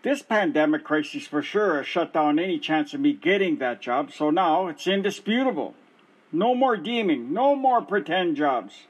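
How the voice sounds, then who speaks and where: A man doing an exasperated injured worker, husky, high voice, lively swings. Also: moderate pace. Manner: exasperated, insistent. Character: frustrated middle-aged male injured worker. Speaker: caller. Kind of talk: amateur radio play acting.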